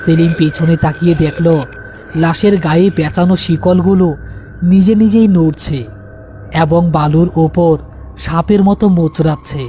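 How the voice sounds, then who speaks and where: A man's voice: a little high, steady tone, measured pace, mellow, slight background noise. A man narrating a scripted horror story.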